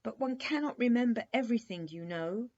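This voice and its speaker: Woman voicing a courteous, resigned storybook prince, raised pitch. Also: expressive, brisk, a little mellow. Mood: defensive. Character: polite, resigned young fairy-tale prince. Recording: dull lo-fi recording.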